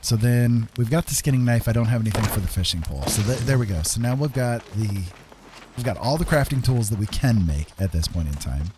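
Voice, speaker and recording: Guy streaming a game, lowish voice, thinking out loud and asking little questions, noticeable background noise. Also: lively intonation, a little fast. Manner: thinking aloud, often ending on questioning tags. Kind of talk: casual gameplay commentary.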